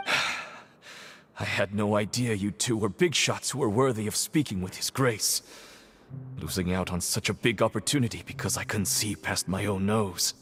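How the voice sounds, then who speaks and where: An actor playing a nervous, fawning prison inmate who stammers and grovels, in video game dialogue. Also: male voice, lowish voice, normal speed, a bit bright, a little hiss or hum, natural voice. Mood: flustered. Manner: stammering, anxious, obsequious.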